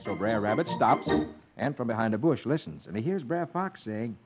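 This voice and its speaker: A man's voice: mid-range voice, animated, moderate pace, husky. An American man hosting a 1950s children's radio show, narrating comics in a dramatic storyteller's manner. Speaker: host reading the comics. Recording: muffled old radio recording, music behind.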